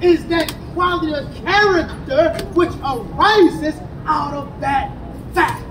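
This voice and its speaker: An American man teaching a street crowd in call-and-response style, emphatic and rising to shouts. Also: extremely high pitch, slow pace. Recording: echoey and distant, with crowd and traffic. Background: crowd responses and passing vehicles.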